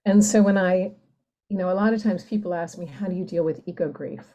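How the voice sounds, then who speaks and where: A woman poet talking reflectively and reading her work in a thoughtful, deliberate way. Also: fairly low pitch, dark tone. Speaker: poet, podcast guest. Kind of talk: reflective talk and poetry reading.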